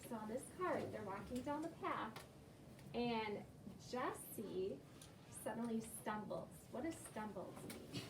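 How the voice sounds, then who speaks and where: High-pitched woman teacher in a roomy, noisy space. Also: lively intonation, measured pace. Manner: questioning, repeats and affirms answers. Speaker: primary school teacher. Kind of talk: interactive read-aloud lesson with children. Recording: roomy, noisy, distant classroom microphone.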